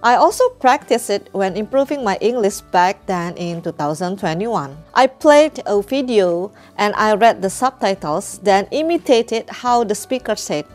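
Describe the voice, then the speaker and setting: A woman's voice: mid-range voice, lively intonation, unhurried, hardly any pauses, a little mellow, soft background music. Indonesian-accented woman teaching English. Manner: friendly, anecdotal, with easy laughs and restarts. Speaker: English teacher, channel host. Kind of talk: casual teaching talk.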